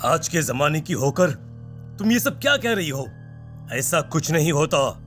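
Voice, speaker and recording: A man playing an irritable, dismissive father, curt and scolding in an animated story with music behind. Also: on the high side, a little slow.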